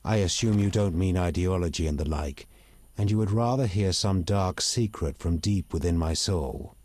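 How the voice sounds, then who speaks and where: A man playing a proud, bitter elf rebel in a video game, articulate and measured, with open disdain. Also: low voice, darkish tone, no echo. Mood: bitter, reflective. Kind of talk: video game dialogue acting.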